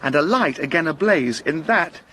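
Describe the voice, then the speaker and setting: An actor playing a humble, earnest police sergeant in a radio drama, telling events deferentially and carefully. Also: male voice, on the high side, expressive, normal speed, bright, forceful tone, a little hiss or hum.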